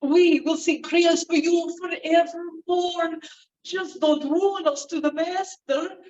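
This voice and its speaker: A woman's voice: extremely high pitch, steady tone, slow pace, a little mellow, pitched above the performer's own voice. A woman voicing a frightened male manservant who pleads anxiously. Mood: pleading. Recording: roomy, distant, dull sound.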